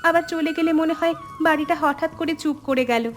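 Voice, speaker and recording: A woman voicing an affectionate, pouting, playful younger sister in an animated family story. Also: very high voice, a little fast. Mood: apologetic, hurt. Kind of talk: animated family drama dialogue.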